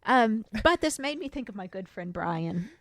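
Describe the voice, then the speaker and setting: A woman's voice: medium pitch, lively intonation, normal speed, no room sound, clean studio sound. A woman co-hosting a podcast, telling stories casually with mock-dramatic, teasing banter. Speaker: podcast co-host. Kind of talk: casual comedic storytelling.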